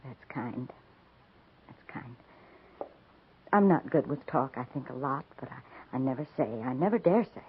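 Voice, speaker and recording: A self-effacing frontier wife in a 1950s radio western. She confides haltingly, earnest and weighed down by guilt. The old recording is tinny, with faint hiss. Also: very deep voice, lively intonation, exaggerated voice. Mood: anxious, confiding.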